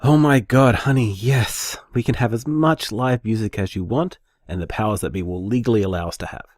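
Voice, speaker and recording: A man's voice: fairly low pitch, brisk, a little mellow, no echo, close to an everyday voice. A man playing an anxious, affectionate boyfriend in an audio drama, speaking in a hesitant, earnest, informal way. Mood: delighted. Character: anxious, affectionate, conscientious boyfriend planning a bar. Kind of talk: naturalistic audio drama monologue.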